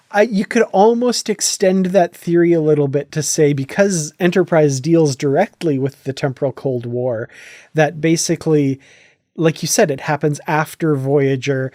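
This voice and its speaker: A man's voice: on the high side, moderate pace, mellow, no room sound, clean studio sound. A man hosting a casual fan podcast discussion, chatty and thinking aloud as he goes. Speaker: podcast host.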